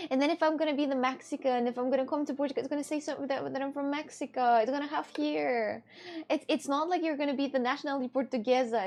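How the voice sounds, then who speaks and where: A woman's voice: high-pitched, a little mellow. A woman from Russia with a Russian accent, streaming in casual chat, arguing and breaking into disbelieving laughs and cries.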